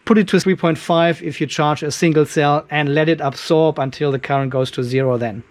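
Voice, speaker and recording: A man's voice: on the high side, steady tone, brisk. A man, hosting a hands-on workshop demo and explaining each step while he works in a cluttered garage workshop, filmed on a handheld camera held close. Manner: explains step by step while working, thinking aloud. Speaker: channel host.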